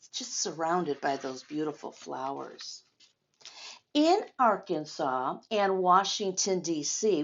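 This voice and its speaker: A woman's voice: mid-range voice, lo-fi sound. An older woman doing a read-aloud story time for children, speaking directly to young listeners and explaining as she goes. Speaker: storyteller reading a picture book to children.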